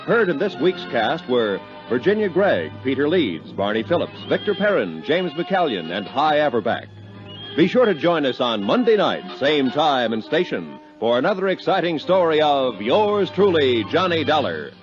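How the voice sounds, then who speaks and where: A man's voice: fairly high pitch, sing-song, normal speed. An American man reading scripted credits as a 1950s radio announcer, in a formal, polished delivery. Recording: dull 1950s radio recording with music.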